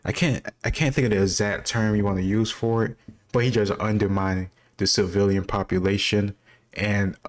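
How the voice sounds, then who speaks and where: Casting: man with a low, flat voice, casual and rambling, reasons out loud and freely admits gaps. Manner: thinks aloud, candid about not knowing. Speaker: quiz-taker sharing his own commentary.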